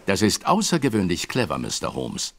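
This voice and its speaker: An actor playing a scheming aristocratic villain, smooth and polite to guests but scornful and commanding behind their backs. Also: male voice, deep voice, wildly swooping pitch, moderate pace, a bit bright, slight background noise, heavily acted. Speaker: Sir John. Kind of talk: radio play acting.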